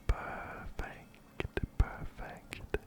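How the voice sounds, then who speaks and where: A man whispering softly and breathily into a close binaural microphone, giving gentle ASMR game commentary and often repeating words rhythmically. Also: very slow. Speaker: ASMR gaming video host. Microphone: close-up binaural microphone, ear to ear.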